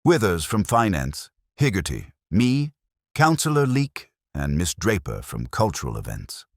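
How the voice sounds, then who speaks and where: A man playing a blunt female town councillor, brisk and scornful, in a mystery story. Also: sits low, huge pitch swings, dry recording, studio quality, voice pushed up, exaggerated voice.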